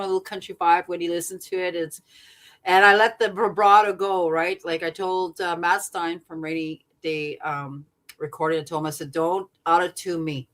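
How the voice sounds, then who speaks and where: A songwriter woman from Saskatchewan with a deep voice and a natural vibrato, chatting earnestly as a guest, rambling with fillers and restarts. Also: fairly bright tone. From Saskatchewan, Canada. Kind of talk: casual interview chat.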